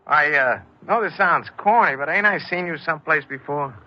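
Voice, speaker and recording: An actor playing a chummy, slyly flattering stranger in a 1950s radio drama, talking in ingratiating street slang. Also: male voice, on the high side, normal speed, natural voice. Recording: muffled old radio broadcast.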